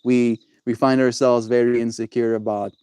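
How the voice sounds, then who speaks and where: A man's voice: medium pitch, level tone, moderate pace, a little mellow, clean tone, no echo. A young man chatting casually on his livestream, laid-back and encouraging, answering viewers and calling them bro.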